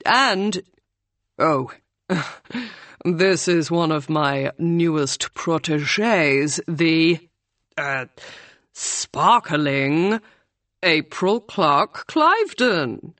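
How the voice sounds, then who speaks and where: A woman's voice: deep voice, animated, very slow, long pauses, a bit bright, no room sound, full character voice. A woman voicing a pompous, theatrical male Oxford tutor who drawls his praise grandly. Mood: effusive.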